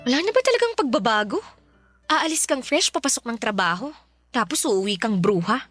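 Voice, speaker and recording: A woman's voice: on the high side, big pitch swings, fairly quick, fairly bright tone, faint music behind. A woman acting a frustrated young working girlfriend in a radio drama, dramatic and exasperated, with a Filipino accent. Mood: weary, grumbling. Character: frustrated young working woman nagging her live-in boyfriend.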